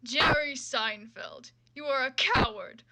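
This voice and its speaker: A woman's voice: high-pitched, exaggerated voice. Woman as a bombastic, scornful tough guy. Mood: scornful, booming. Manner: slow, loud, declamatory. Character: bombastic muscle-bound cool guy, scornful adult man.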